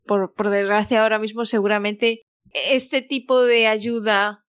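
A woman's voice: mid-range voice, flat delivery, unhurried, clean tone, no room sound. Thoughtful woman co-host, dry sound. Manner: thoughtful, with repeated restarts. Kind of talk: reflective conversation.

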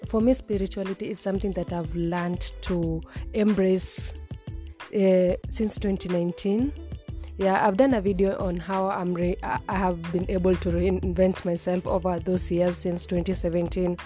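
A woman's voice: lowish voice, fairly even intonation, normal speed, dark tone, soft background music. A woman in her twenties hosting a solo lifestyle podcast, chatting casually with frequent restarts and repeated words. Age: 20s. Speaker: podcast host.

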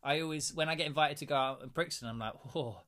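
A man's voice: on the high side, quick pace, fairly bright tone. A man hosting a casual interview, chatty and meandering, with plenty of fillers and asides. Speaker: interviewer, host.

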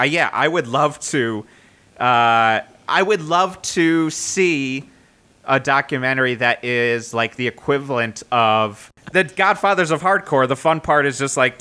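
A man chats loosely on a podcast, piling up fillers like "like" and "you know" as he thinks aloud. His tone is bright and forward, and he teases his co-hosts in a playful way. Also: medium pitch. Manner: rambling, filler-heavy, playful teasing. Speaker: podcast co-host. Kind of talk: casual chat.

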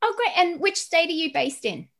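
A woman's voice: very high voice, big pitch swings, normal speed, creaky, a bit bright. A woman hosting a podcast interview, introducing her guest and putting questions to her.

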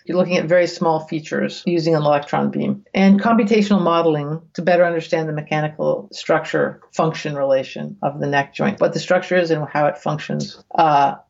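A woman reading research aloud as a guest, with casual, bemused asides, thinking out loud in a conversational way. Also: sits low, fairly even intonation, fairly quick, gravelly, few pauses, lo-fi sound. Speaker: guest reader reacting to research papers.

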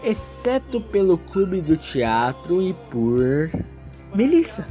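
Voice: medium pitch, very animated, slow, warm tone, soft background music. A narrator telling a scripted story in a theatrical, self-mocking way with playful asides.

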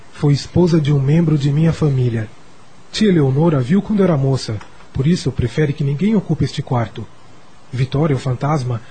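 Radio drama pupil: an ailing young Brazilian gentleman, mellow, very raspy, weary. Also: fairly high pitch, poor audio. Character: sickly, weary young male pupil of a rich family.

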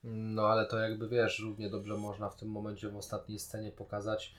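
A man's voice: on the low side, completely flat delivery, brisk. A man co-hosting a podcast, casually talking through and arguing his point of view. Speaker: podcast co-host reviewing a TV series. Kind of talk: casual discussion.

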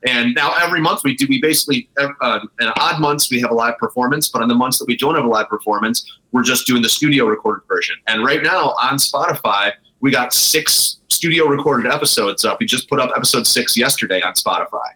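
A man's voice: medium pitch, fairly quick, few pauses, pushed and bright, rough recording. A man speaking casually and enthusiastically as a guest in a conversational interview. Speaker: guest, brewery co-owner.

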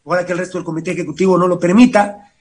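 A man's voice: high-pitched, fairly even intonation, rapid-fire. A man with a Guatemalan accent hosting an informal sports talk show in an irreverent, slangy, profane manner. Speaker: host. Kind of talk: informal radio-style sports talk with co-hosts.

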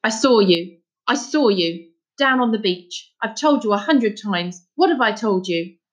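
A woman's voice: medium pitch, animated, unhurried, natural voice. A woman voicing a stern, troubled father in a storybook, with blunt, scolding, folksy speech. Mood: angry, scolding. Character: stern, anguished Scottish father, gruff and scolding.

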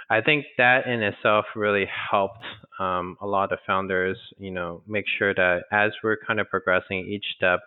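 An American man from Texas answering in a conversational business interview, explaining things in a halting, rambling way with self-corrections. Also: low voice, clear, resonant tone. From Texas, United States. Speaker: interview guest, franchise business co-founder. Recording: narrow, tinny phone-line sound.

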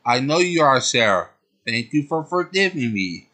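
A man voicing a gentle young male hero who speaks softly and comfortingly, almost begging for forgiveness. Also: medium pitch, very animated, normal speed. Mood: comforting, pleading.

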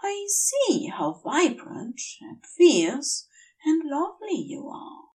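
A woman voicing a gentle, adoring male celestial being, earnest and tender in a story reading. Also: high-pitched, huge pitch swings, takes it slowly, recorded from a distance, voice pushed up, full character voice, rough recording.

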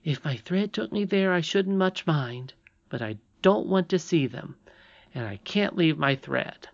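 Casting: young storybook princess played by an audiobook reader, high voice, sure of herself, insistent and earnest. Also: animated, a little slow, gravelly, dull audio. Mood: brisk, decided.

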